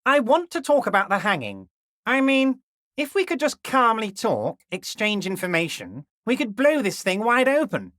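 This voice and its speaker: A man voicing a scheming, jovial middle-aged male union boss in a video game, smooth and ingratiating with a slyly patronizing edge, drawing out key words slowly. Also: high voice, a bit bright, no room sound, studio quality, full character voice. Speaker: dock union leader character.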